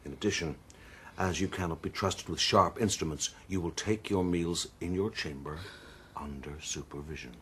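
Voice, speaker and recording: A man playing a cold, controlling gentleman who rules wife and servants with formal commands in a period radio drama. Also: low voice, moderate pace, a little hiss or hum. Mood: cold, stern. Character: cold, controlling gentleman husband, master of an estate.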